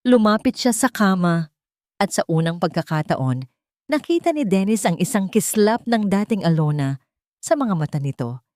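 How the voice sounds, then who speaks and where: A woman's voice: medium pitch, big pitch swings, moderate pace, darkish tone, no room sound, clean studio sound. A woman reading a scripted dramatic story as an audiobook narrator.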